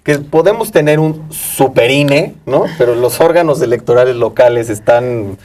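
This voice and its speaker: A man's voice: medium pitch, expressive, moderate pace, a little mellow, recorded from a distance. A man co-hosting a conversational interview, speaking in fragments and often checking for agreement. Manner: fragmented, often seeks agreement with tag questions. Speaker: talk show co-host. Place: internet TV studio.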